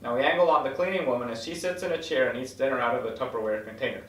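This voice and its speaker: A man's voice: mid-range voice, very fast. A man reading screenplay stage directions as scripted narration at a table read. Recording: echoey room from far off, noisy.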